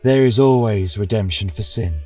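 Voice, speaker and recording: A man narrating a scripted fantasy tale as a podcast storyteller, solemn, dramatic and measured. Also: lowish voice, darkish tone, far from the mic. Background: choral music.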